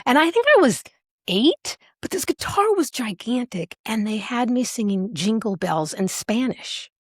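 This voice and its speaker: A woman with an American accent, speaking casually as an interview guest, animated and playful as she tells her stories. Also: on the high side, a little slow, breathy edge, no echo, studio quality. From Alaska, United States. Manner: animated, playful, acts out remembered remarks. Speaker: singer, podcast guest.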